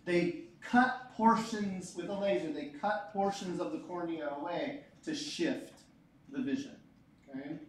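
A man in his forties teaching a physics class, lively and joking, repeating his key points. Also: sits high, a little slow, slight background noise. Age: 40s. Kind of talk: classroom lecture. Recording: echoey room, mic far off.

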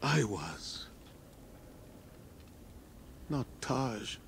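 A male actor playing a proud, weary warrior commander in a video game, grieving, grave and halting. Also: medium pitch, very animated, slight background noise, heavily acted. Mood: anguished grief. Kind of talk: dramatic video game acting.

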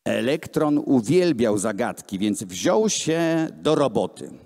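Guy reading kids stories out loud, really lively pitch, big echoey room. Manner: theatrical, deliberate storytelling with voiced dialogue. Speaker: reader at a gallery event. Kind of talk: expressive live reading of children's books. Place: large gallery hall with hard floor, indoors. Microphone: handheld microphone. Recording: echoey hall, amplified voice filmed from the room.

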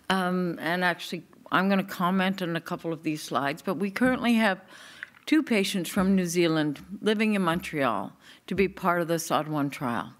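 A middle-aged woman from Newfoundland speaks at a conference podium, her voice sitting on the lower side. Her delivery is easy and conversational, with light humorous asides between her points. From Newfoundland, Canada. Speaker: clinical researcher, speaker. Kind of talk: conference talk. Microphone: podium microphone.

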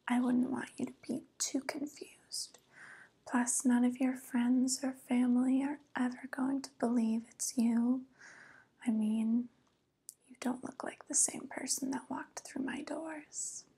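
Evil witch, played by a young woman, vain and cooing, ASMR roleplay: mellow, extremely breathy, whispered close to mic. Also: high-pitched, steady tone, heavily acted. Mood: smug, sly. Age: young adult. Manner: slow, cooing, gently condescending. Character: evil youth-stealing witch, sly, vain, falsely tender. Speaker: ASMR performer. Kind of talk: soft-spoken ASMR roleplay. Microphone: close microphone.

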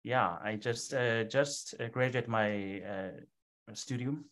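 A man in his thirties with a Korean accent, introducing himself in halting English on a video call. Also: medium pitch, fairly bright tone. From South Korea. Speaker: opera singer, competition finalist. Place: indoor room on a video call.

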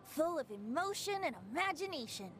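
A woman's voice: high-pitched, very animated, a little fast, a bit bright, a little hiss or hum. A woman voicing a youthful, cheerful bard in a fantasy game, with a lilting, theatrical delivery. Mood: cheerful, airy. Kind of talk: scripted game character voice-over.

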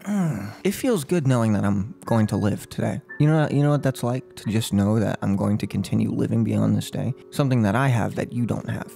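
A young man in his twenties playing a gloating, unhinged serial killer in an ASMR role-play, speaking close to the mic in a halting, mock-polite, menacing way. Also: lowish voice, gravelly, warm tone, faint music behind. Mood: smug menace. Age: 20s. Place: small furnished room at home. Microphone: close microphone on a boom arm.

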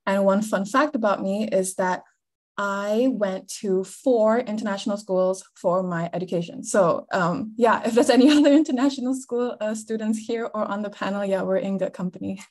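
A young woman from Malaysia talks on a video-call panel through her laptop mic. She is chatty and relaxed, and her voice sits at a medium pitch. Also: normal speed. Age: young adult. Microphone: laptop webcam on video call.

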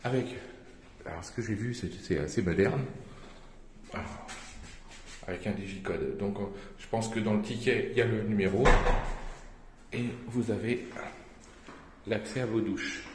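A man's voice: fairly low pitch, measured pace, pauses often, darkish tone, slight background noise. A middle-aged man giving casual, chatty, appreciative commentary as he films a handheld vlog. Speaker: camper van vlogger. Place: outdoors, washrooms and inside a camper van.

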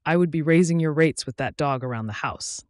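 A man's voice: fairly high pitch, fairly quick, dry recording, studio quality, close to an everyday voice. A man voicing a jovial, carefree male friend in a story, with a breezy, joking manner.

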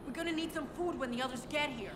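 A woman's voice: sits high, fast, background noise, natural voice. An actress playing a tough, teasing young punk woman survivor in a video game. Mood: wry. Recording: loud music bed behind voice.

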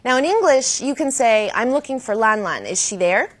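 A woman hosting a language lesson with scripted, didactic delivery, slowing down to model key phrases. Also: fairly high pitch, very animated, a bit bright. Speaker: host and language teacher. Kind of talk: scripted educational presenting.

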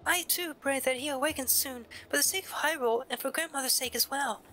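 A man voicing a shy, earnest young village woman from a video game in a polite falsetto. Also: fairly quick, sharp tone, slight background noise. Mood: solemn, hopeful. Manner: polite, hesitant, stammering when flustered.

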